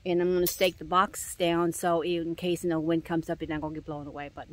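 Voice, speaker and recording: A woman with a fairly low voice, stuffy and nasal from a head cold, talks her way through her backyard garden. She rambles in loose, self-correcting sentences, often trailing off or sighing. She speaks outdoors into a camera she holds while walking. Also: little pitch movement, brisk. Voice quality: nasal from congestion. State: congested. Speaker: gardening vlogger. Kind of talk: casual garden walk-through. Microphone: handheld camera filming while walking.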